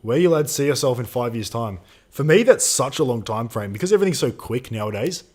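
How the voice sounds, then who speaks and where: A man's voice: mid-range voice, expressive, fairly quick, a little mellow, breathy edge. Young man, casual live-stream chat, slangy, reads viewer questions. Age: 20s.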